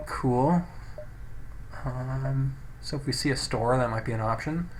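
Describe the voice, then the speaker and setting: Male gaming commentator, mid-pitched, casual and a bit hesitant, talking through his moves over a noisy cheap mic. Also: unhurried. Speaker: gameplay video host. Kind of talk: casual game commentary, reading in-game text aloud. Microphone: low-quality microphone. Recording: poor noisy microphone.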